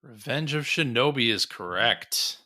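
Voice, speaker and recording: Male quiz host, bright forward voice at medium pitch, casual delivery. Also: animated, measured pace. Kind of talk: casual chat.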